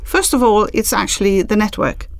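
A woman's voice: mid-range voice, moderate pace, vocal fry, no echo. A middle-aged woman from southern Denmark, a podcast guest in a small studio, earnest and agreeable. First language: Danish. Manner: earnest, agreeable, many short affirmations. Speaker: podcast guest, vocational school staff. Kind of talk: casual podcast conversation. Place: small studio room, indoors. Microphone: desk microphone on a stand.

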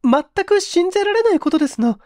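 Casting: actress as distressed young working woman, very high voice, formal and hesitant, dry studio sound, mystery audiobook. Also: very animated, brisk, a little mellow, no echo. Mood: resolved, earnest. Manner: formal, deferential, hesitant speech. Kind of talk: dramatized audiobook reading.

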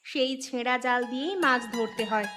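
A woman's voice: high voice, brisk, sharp tone, slight background noise. A woman voicing a caring village mother and fisherman's wife in a cartoon, nagging and scolding sarcastically. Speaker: voice actor. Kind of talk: cartoon dubbing. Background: music.

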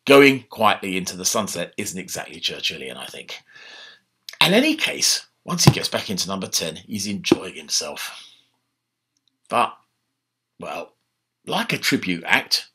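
An older man giving a casual, animated history lecture from his home study, speaking into a laptop webcam. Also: low voice, slightly rough. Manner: animated, conversational, gesturing with his hands. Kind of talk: informal online history talk. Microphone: laptop webcam mic.

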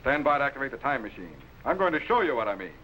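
A man's voice: medium pitch, sing-song, racing, bright, forceful tone, low-quality recording. A man playing a dry, authoritative explorer captain in a 1950s sci-fi series, speaking precisely. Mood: commanding. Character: wry, authoritative male space explorer captain. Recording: vintage film soundtrack, faint noise.

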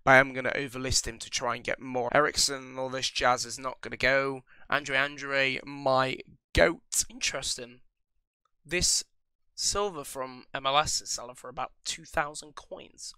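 A man's voice: mid-range voice, fairly even intonation, normal speed, bright, forceful tone, clear, resonant tone, dry recording, low-quality recording. A young man with a cold giving rambling, casual commentary over a football game, full of ums and restarts. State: recovering from a cold. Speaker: gaming channel host.

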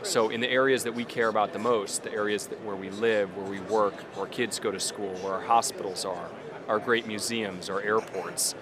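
Executive, 30s, lowish voice, fluent sales pitch; expo hall with crowd noise. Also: male voice, fairly even intonation, normal speed. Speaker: company executive. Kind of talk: promotional interview. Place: busy trade show hall. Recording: crowd chatter behind.

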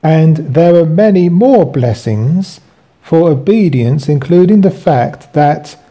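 A man hosting and reading from his own book with commentary, in deliberate, halting phrases. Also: on the high side, lively intonation, dark tone.

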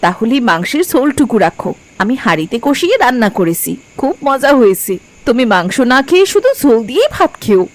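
A woman's voice: on the high side, sing-song, brisk. A woman voicing a caring, mildly scolding village housewife and mother in a cartoon. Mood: friendly, caring. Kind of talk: Bengali cartoon voice acting. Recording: music behind the voice.

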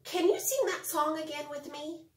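A middle-aged woman giving a lesson and storybook read-aloud for young children, speaking in simple, expressive phrases. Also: sits high, normal speed. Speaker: preschool Bible teacher. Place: home kitchen, indoors. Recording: roomy kitchen echo.

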